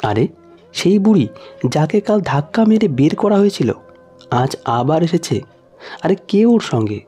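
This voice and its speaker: Storybook villain: haughty, short-tempered male shop owner read by a man, sneering, lively, music behind. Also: a little high, unhurried, breathy edge, exaggerated voice. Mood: angry contempt. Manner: sneering, contemptuous.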